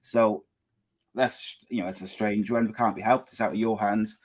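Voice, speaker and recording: A man's voice: fairly low pitch, steady tone, moderate pace, a little mellow. A man hosting a live podcast show, chatty and loose, with frequent fillers and restarts as he introduces guests and runs the show. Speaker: podcast host.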